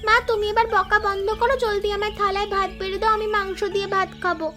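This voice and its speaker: Excited little girl, very shrill pitch, fast, exclaiming, cartoon style, music behind. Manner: exclaiming, eager. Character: excited, food-loving little village girl. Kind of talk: cartoon voice acting. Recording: clear background music.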